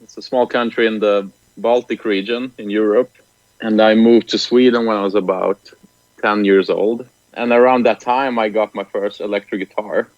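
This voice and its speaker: A young man in his late twenties from Lithuania talks easily and softly as a musician guest. His voice is a little low. He comes through a dull, slightly muffled line, and the sound is dry. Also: normal speed, dry recording. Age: late 20s. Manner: relaxed, soft-spoken, laughs often. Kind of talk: casual interview over the phone.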